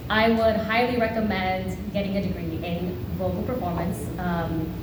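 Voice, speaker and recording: A woman voice actor casually offers advice to newcomers. She sounds distant in a roomy hall, with noise in the background. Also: lowish voice. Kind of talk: panel interview answer. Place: convention panel room. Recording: echoey room, from afar, noisy.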